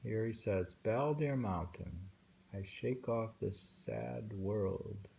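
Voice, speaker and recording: Slow, gravelly man reading poetry. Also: on the low side, dark tone. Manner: slow, musing, with thoughtful hums. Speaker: reader of translated poetry. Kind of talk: reading poems aloud with casual commentary.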